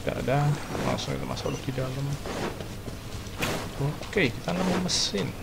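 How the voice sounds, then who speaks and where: A man's voice: medium pitch, normal speed, gravelly, very noisy. A man with an Indonesian accent giving casual, slangy commentary on a game as he plays. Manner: slangy, informal. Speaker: gaming video host. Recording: loud game music behind voice. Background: loud game music and effects.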